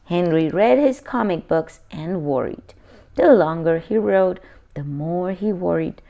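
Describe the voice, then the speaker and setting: A woman's voice: lowish voice, animated, measured pace, vocal fry, highs cut off. A woman reading a children's picture book aloud expressively, adding simple asides for young listeners.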